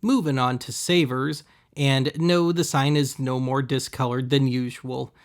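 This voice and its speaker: A man hosting a web series, giving casual, deadpan, wry voice-over commentary on thrift finds. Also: medium pitch, unhurried. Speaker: host of a web video series.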